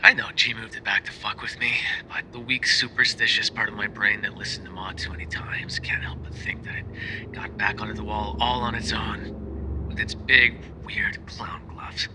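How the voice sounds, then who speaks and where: A man's voice: on the low side, steady tone, moderate pace, bright, forceful tone, low-quality recording. A man playing a lovesick, jealous mafia boyfriend in an intimate ASMR roleplay monologue, conversational and sardonic, dropping into whispers. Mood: wry, exasperated.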